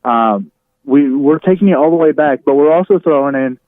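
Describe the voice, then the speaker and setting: A man speaking over a narrow telephone line as a radio guest, chatting casually and haltingly as he thinks aloud. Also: on the high side, no echo. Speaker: radio host, interview guest. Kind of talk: casual chat on a phone interview. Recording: tinny narrow phone line.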